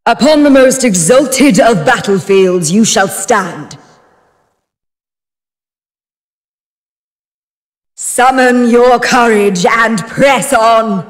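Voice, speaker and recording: An actress playing a proud, fierce goddess of war and ice in a fantasy video game, declaiming in a grand, commanding manner. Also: female voice, fairly high pitch, slow. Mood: commanding, stern. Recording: long reverberant hall effect.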